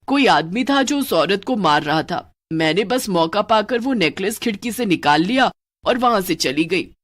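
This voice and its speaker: A woman's voice: mid-range voice, close to an everyday voice. A woman voicing a mischievous thieving female witch who is sleepy and whiny. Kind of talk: cartoon voice acting.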